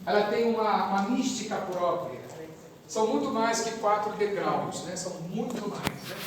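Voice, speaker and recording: A Brazilian man from São Paulo, a priest, giving an informal training talk to parish catechists, animated and conversational with asides and jokes. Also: high-pitched, moderate pace, background noise. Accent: Brazilian Portuguese, São Paulo. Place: parish hall. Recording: echoey parish hall from far off.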